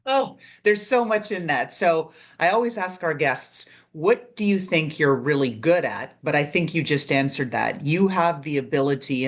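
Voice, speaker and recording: A woman from Canada with a Canadian accent, hosting an interview show in an upbeat, chatty way. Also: low-pitched. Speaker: podcast host. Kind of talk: casual interview chat.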